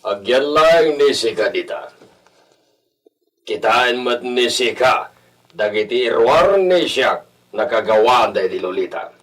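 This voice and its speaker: An actor with an Ilocano accent playing a stern, brooding, wealthy master of a household in a radio drama, speaking deliberately and with command. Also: male voice, mid-range voice, expressive, a bit bright, low-quality recording.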